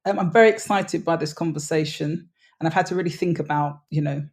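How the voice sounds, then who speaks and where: A woman's voice: low voice, fairly quick. A woman with a cold and a chesty voice, chatting as an interview guest in a rambling way. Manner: chatty, rambling, lots of "sort of" and "you know". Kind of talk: casual interview chat.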